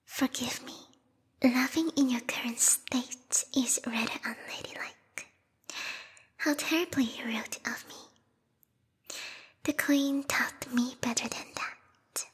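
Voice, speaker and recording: A woman voicing a bloodthirsty, refined bat girl royal guard in a whispered ASMR roleplay, prim and courtly with quiet menace. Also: high-pitched, slow, pauses often, big-room sound, exaggerated voice. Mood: prim, mock-apologetic. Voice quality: breathy, whispery. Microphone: close binaural microphone.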